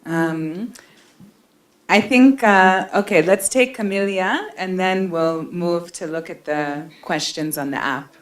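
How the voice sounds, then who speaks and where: Low-voiced woman moderating a panel. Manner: brisk, affirming. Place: conference hall.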